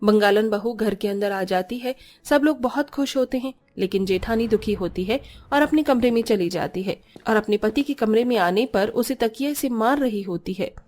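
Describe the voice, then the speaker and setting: A woman's voice: on the high side, moderate pace, few pauses, darkish tone. A woman reading scripted narration for a story as its narrator.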